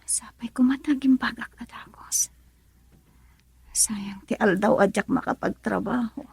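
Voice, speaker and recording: Radio drama: exhausted young working wife, unwell, very breathy and weak, close dry sound. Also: female voice, a little high, unhurried, darkish tone, no echo, exaggerated voice. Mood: weary, weak. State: sick, weary, whispery. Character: tired, ailing young wife working abroad in Dubai.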